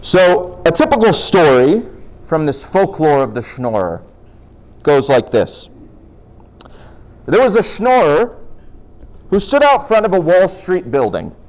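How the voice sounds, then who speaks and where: A man presenting folk tales in an animated, explanatory storytelling style. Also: fairly high pitch, slow pace, long pauses. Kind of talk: storytelling talk with acted dialogue.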